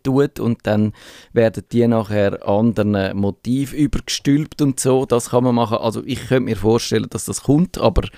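A man with a Swiss German accent talks loosely through listener questions as a radio tech host. His voice sits at a middling pitch and is recorded close and dry, with no room sound. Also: moderate pace, gravelly, mellow, no echo. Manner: chatty, explaining, reads listener mail aloud. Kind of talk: casual radio chat.

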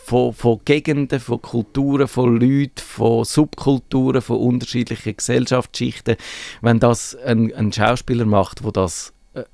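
A man's voice: mid-range voice, fairly even intonation, normal speed, vocal fry, darkish tone, dry recording, clean studio sound. A man with a Swiss German accent co-hosting a casual podcast chat, thinking aloud and searching for words as he goes. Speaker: podcast co-host.

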